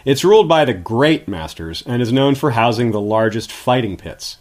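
Animated man explaining history. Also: mid-range voice, moderate pace. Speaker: guest history expert. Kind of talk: scripted explanatory history narration.

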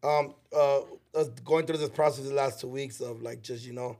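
A man co-hosting a podcast in casual chat, with a loud, raspy voice and a laid-back, cursing manner. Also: medium pitch, fairly even intonation, moderate pace. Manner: laid-back, swears a lot. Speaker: podcast co-host.

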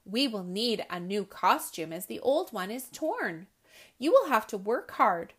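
A woman voicing a kind, patient schoolteacher in a storybook reading, gentle and encouraging. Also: mid-range voice, very animated, measured pace, husky, full character voice.

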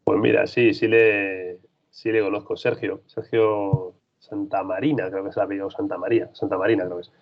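A freelance basketball reporter answers interview questions in a low, gravelly voice. He talks thoughtfully, rambles, and restarts often. The recording sounds dull and lo-fi. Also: little pitch movement, normal speed.

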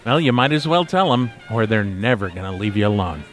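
A man's voice: lowish voice, expressive, fairly quick, soft background music, close to an everyday voice. A man playing a long-suffering, sarcastic podcast host in a comedy audio drama, speaking drily. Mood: dry. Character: exasperated, sarcastic male podcast host herding his friends.

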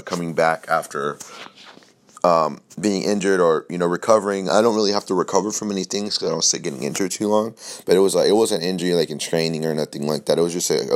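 Young man, very deep voice, scratchy sore throat, rambling casual podcast talk, swears freely. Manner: rambling, loose and profane, with many fillers and restarts. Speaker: podcast host. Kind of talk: casual solo podcast chat.

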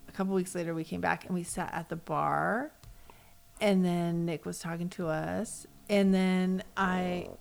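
A woman's voice: fairly low pitch, measured pace, vocal fry. An American woman from the West Coast, a co-host chatting casually and telling personal stories, acting out what people said. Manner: animated storyteller, acts out quoted remarks.

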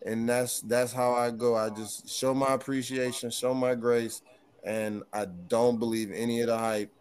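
A man talks in a casual podcast conversation, his voice thin and of middling pitch. He rambles, circling back and restarting his thoughts as he goes. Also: level tone, moderate pace, darkish tone. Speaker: guest hip hop artist.

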